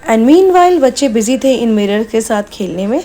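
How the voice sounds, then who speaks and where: A woman's voice: fairly high pitch, lively intonation, normal speed, mellow. A woman narrating a family vlog in a chatty, casual way, slipping English phrases into her Hindi. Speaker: vlogger.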